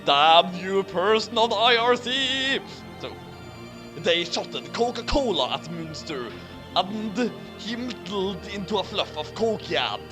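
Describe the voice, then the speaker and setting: Man, high voice, hammy dramatic reading, casual asides, unhurried. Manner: animated, hammy when reading aloud. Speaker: co-host of a fan fiction reading. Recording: music clearly playing behind the voice.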